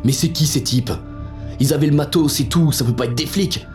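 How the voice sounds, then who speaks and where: A man's voice: on the high side, moderate pace, darkish tone, faint music behind, natural voice. An actor playing a crude, confused man on the run, narrating in the first person with colloquial dialogue in an audio drama. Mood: panicked. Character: confused hunted man, crude and lustful, narrating his escape.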